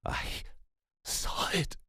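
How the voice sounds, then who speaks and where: A man voicing a bloodied, furious, stubborn injured hunter in an audio horror story, breathless and halting. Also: bright, forceful tone, dry recording, studio quality, heavily acted. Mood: haunted.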